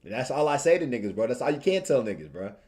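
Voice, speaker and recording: A man's voice: medium pitch, sing-song, quick pace, darkish tone. A young man from Tanzania chatting casually on a livestream in informal slang, repeating phrases for emphasis. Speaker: streamer and video creator.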